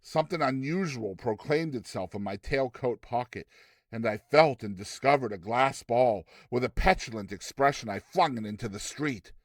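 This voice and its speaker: A man reading a classic story aloud as a theatrical, expressive narrator with deliberate delivery. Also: medium pitch, dry recording, studio quality. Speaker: narrator, reader.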